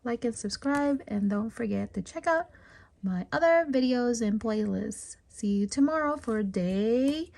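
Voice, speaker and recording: A woman's voice: a little high, lively intonation, measured pace, vocal fry, darkish tone. A woman reading a picture book aloud to children as a storytime host, talking to them directly in a sing-song way.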